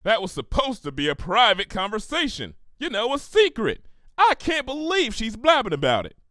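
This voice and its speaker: A man's voice: high-pitched, wildly swooping pitch, normal speed, sharp tone, no echo, studio quality, pitched above the performer's own voice, heavily acted. A man voicing a blunt, hard-working female cartoon farm pony with a folksy, drawling way of talking, in a story reading. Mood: indignant, angry. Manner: folksy, plain-spoken, drawling dialect.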